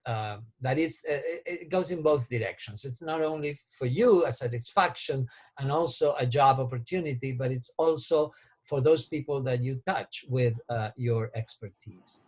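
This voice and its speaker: A man interviewing a former student, speaking in measured, thoughtful sentences. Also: medium pitch, darkish tone, slightly rough. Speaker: interviewer, faculty member. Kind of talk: casual interview.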